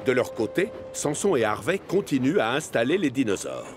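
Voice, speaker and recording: A man's voice: mid-range voice, big pitch swings, normal speed, over music. A man narrating a children's cartoon story in a scripted storytelling style.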